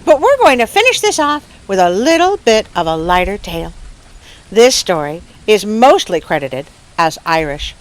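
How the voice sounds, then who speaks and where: A woman hosting a story show, telling tales in an expressive, folksy, animated way to her listeners. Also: medium pitch, normal speed, rough recording. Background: music.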